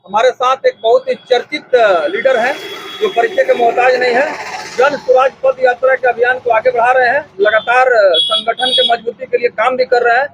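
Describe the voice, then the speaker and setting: A man working as a reporter, putting halting, roundabout questions in a political interview. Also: extremely high pitch, fairly bright tone, a little hiss or hum, poor audio. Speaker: interviewer, local news reporter.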